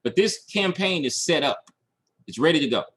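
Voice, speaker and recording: A man's voice: a little high, animated, moderate pace, a bit bright, slightly rough. A man teaching online marketing in a screen-share tutorial, talking through each step aloud and checking in as he goes. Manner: instructional, checking in with "okay" and "all right".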